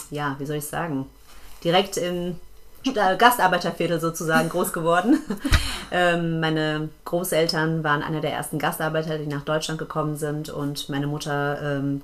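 A woman's voice: low-pitched, steady tone, normal speed. A woman in her thirties from Frankfurt, a guest telling her life story in casual chat, with many fillers and laughs. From Frankfurt, Germany. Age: 30s.

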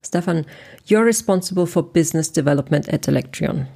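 A woman interviewer asking prepared questions in a measured, formal way. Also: low voice, vocal fry, dark tone, breathy edge.

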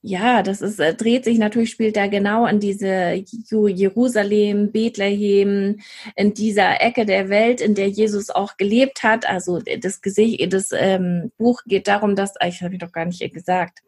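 A woman's voice: medium pitch, steady tone, moderate pace, darkish tone, slightly rough. A woman co-hosting a podcast, chatting casually about books and hesitating often with fillers and restarts. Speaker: podcast co-host. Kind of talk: casual book chat.

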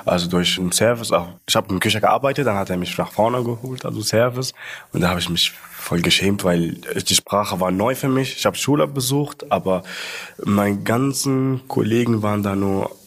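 Young man, low voice, hesitant and simple in his phrasing, interview guest. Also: normal speed, a bit bright. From Sudan, raised in Libya. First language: Arabic. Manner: hesitant, simple learner's German. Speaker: trainee, shift leader.

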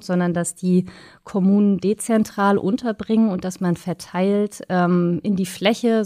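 A woman's voice: lowish voice, darkish tone, no echo, clean studio sound. A woman speaking as an expert guest in an interview, explaining things in an engaged, detailed way.

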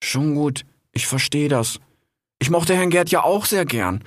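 A man plays a shy young grey fox with a high, lively voice that stammers in earnest. Recorded dry and close. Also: measured pace, no echo.

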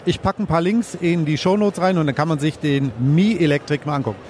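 A man's voice: on the high side, brisk, darkish tone, slight background noise. A man hosting a casual, joking interview at a trade fair stand. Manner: chatty and joking. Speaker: podcast host.

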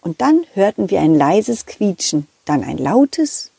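Slow, gentle woman storyteller for children. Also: mid-range voice, big pitch swings, gravelly, husky, dry recording. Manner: gentle, deliberate, addressing children. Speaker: puppeteer and storyteller.

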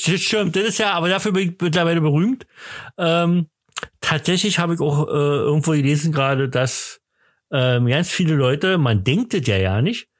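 A man's voice: on the high side, no echo, studio quality. A middle-aged man hosting a casual podcast, rambling and teasing, slightly tipsy. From Germany. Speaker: podcast host. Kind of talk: casual chat.

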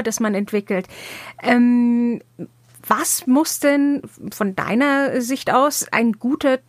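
Lady interviewer, bit higher pitched, casual chatty questions, super dry close sound. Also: dry recording. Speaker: interviewer, host.

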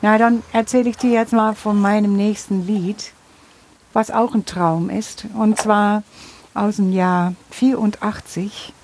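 A woman in her fifties talks warmly and eagerly, telling a story at an ordinary middle pitch. She is attentive to her partner and speaks in a natural, conversational way. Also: fairly even intonation, unhurried, a little hiss or hum. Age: 50s. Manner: attentive listener, enthusiastic storyteller. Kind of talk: casual chat.

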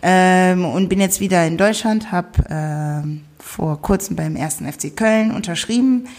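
A German woman in her twenties, a football player interviewed as a guest, relaxed and hesitant, giggling with many fillers. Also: lowish voice, a little slow. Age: 20s. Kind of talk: casual interview.

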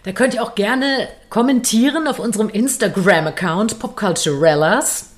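A woman's voice: medium pitch, normal speed. A woman with a Kölsch accent co-hosts a casual pop culture podcast. She talks in a loose, chatty way, laughing often and restarting her sentences as she goes. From Cologne, Germany. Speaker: podcast co-host. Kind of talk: casual chat. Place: home in Berlin.

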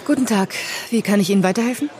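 A woman's voice: medium pitch, fast, warm tone, lightly acted. An actress playing a guarded, coolly courteous female restaurant owner in an audio drama, formal and businesslike. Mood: brisk, guarded. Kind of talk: naturalistic audio drama acting.

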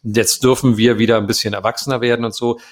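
A man's voice: mid-range voice, fairly even intonation, quick pace. A man in his fifties, a voice actor as an interview guest, answering at length with many hesitations and fillers.

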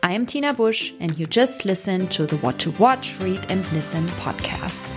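A woman with a German accent, a native German speaker, hosting her own podcast as an English coach. She speaks carefully and clearly at a measured pace. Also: lowish voice, expressive, music in the background. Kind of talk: solo podcast monologue.

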